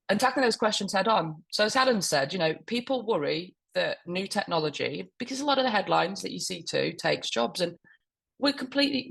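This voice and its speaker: A woman with a croaky voice from a cold, speaking fluently on a webinar panel about her public affairs work. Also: fairly low pitch. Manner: fluent, corporate explaining. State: hoarse with a cold.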